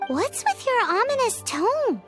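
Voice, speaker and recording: Squeaky little fairy girl, super high and bouncy, slow and drawn out, game music behind. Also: big pitch swings, exaggerated voice. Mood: puzzled. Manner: high, bouncy, speaks of herself by name. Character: tiny floating female fairy companion, chirpy, nosy. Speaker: voice actor. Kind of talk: video game dialogue.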